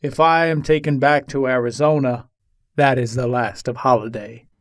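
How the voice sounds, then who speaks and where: A man playing a soft-spoken, modest but determined Old West gunfighter in a dramatic reading of an old interview. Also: medium pitch, fairly even intonation, normal speed, dry recording, clean studio sound, natural voice. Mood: resigned.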